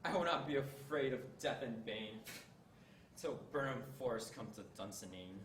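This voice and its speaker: A teenage boy playing a defiant, desperate king, reciting slowly and barking commands, recorded from a distance in an echoey hall. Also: medium pitch, expressive, natural voice. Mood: commanding. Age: teens. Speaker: student in a monologue contest. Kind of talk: stage monologue recitation. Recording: echoey room, distant mic, faint hiss.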